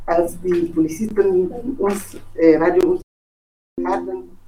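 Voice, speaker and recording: A woman from Togo with a West African accent speaks German as a non-native, giving a halting, impassioned statement and repeating words for emphasis. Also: fairly low pitch, steady tone, warm tone, recorded from a distance, slight background noise, low-quality recording. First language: not German. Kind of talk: impassioned spoken statement, unscripted.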